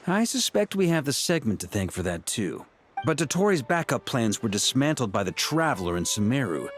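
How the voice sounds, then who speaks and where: Man playing a lively-toned, formal knight commander. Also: mid-range voice, a little hiss or hum, natural voice. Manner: formal, measured, earnest. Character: dutiful, composed male knight commander. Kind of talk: video game voice acting.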